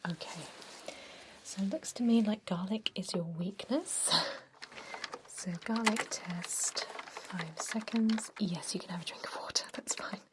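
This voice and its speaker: A woman whispering an ASMR roleplay as a gentle, reassuring doctor, breathy and mostly unvoiced, giving step-by-step instructions. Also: medium pitch, a little slow, poor audio. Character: calm, reassuring female vampire doctor examining a patient.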